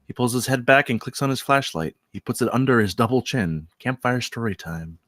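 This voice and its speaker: A man with a medium-pitched voice narrates a screenplay, reading out scene headings and action. He puts deliberate dramatic weight on phrases, and the sound is dry with no room to it. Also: fairly bright tone, no echo. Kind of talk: reading screenplay stage directions aloud.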